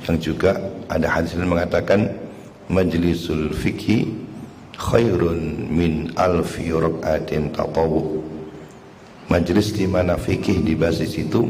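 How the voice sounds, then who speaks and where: Hoarse, deep-voiced man preaching in a hall. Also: a little mellow, slight background noise. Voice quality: slightly coughing. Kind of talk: religious lecture. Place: mosque hall. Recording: echoey hall with faint ambience.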